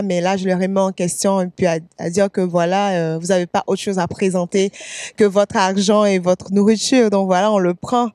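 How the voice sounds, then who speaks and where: A woman from Côte d'Ivoire chats about her music as an interview guest. She hesitates, fills pauses and laughs easily, her voice sitting at an ordinary middle pitch. The recording is dry, with no room sound. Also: darkish tone, no room sound. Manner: hesitant, many fillers and restarts, laughs often. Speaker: singer-songwriter guest. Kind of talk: casual interview answers.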